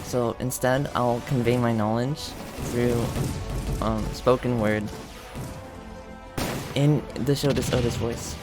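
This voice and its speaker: A man making a deadpan, mock-earnest comedy confession as a gaming video creator, in a halting delivery. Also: medium pitch, music in the background.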